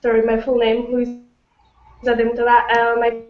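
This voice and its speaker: A woman's voice: on the high side, completely flat delivery, moderate pace, reverberant, phone-quality sound. A young woman from Slovakia, a first-year student, introducing herself in a matter-of-fact way on a video call. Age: young adult. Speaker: student panelist. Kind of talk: casual self-introduction.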